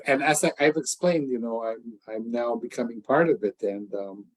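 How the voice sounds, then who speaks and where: A man's voice: mid-range voice, normal speed, low-quality recording. An older man interviewing a guest in a casual, effusive way, stumbling through many restarts. English is his first language.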